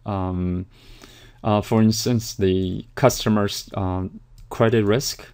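Man, lecturer, low pitch, hesitant with fillers. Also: steady tone, slow pace, darkish tone, smooth. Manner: hesitant, many fillers and restarts. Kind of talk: recorded slide lecture.

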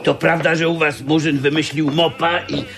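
A man's voice: fairly high pitch, level tone, normal speed, vocal fry. An actor playing a wry, familiar man in a radio play. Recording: music behind the voice.

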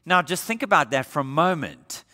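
Animated preaching man in a reverberant church. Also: a little high, moderate pace. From Australia. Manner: animated preaching with rhetorical questions, gesturing while standing. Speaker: pastor. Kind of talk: sermon. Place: church sanctuary stage, indoors.

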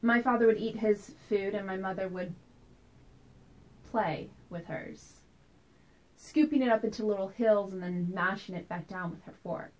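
A wistful, uneasy woman played by an actress delivers a stage monologue about her childhood. She tells it deliberately, pausing for drama, in a medium-pitched voice. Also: darkish tone, close to an everyday voice, low-quality recording. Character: wistful, anxious woman recalling tense childhood family dinners. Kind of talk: theatrical monologue performance.

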